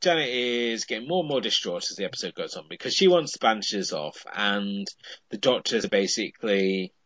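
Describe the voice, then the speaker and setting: A man's voice: on the low side, lively intonation, fairly quick, fairly bright tone, no room sound, muffled recording. A man on a podcast, casually retelling a television episode with frequent "I mean" fillers.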